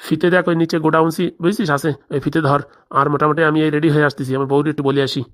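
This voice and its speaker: A woman's voice: deep voice, steady tone, very fast, natural voice. A woman voicing a crooked, bossy male village boss in a comedy cartoon, scheming aloud with a rural Bengali accent.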